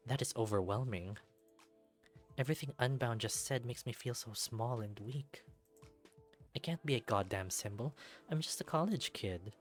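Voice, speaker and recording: A man's voice: medium pitch, moderate pace, long pauses, soft background music, close to an everyday voice. A man playing an anxious, unsure male college student who narrates his thoughts hesitantly and informally in a visual novel. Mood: overwhelmed.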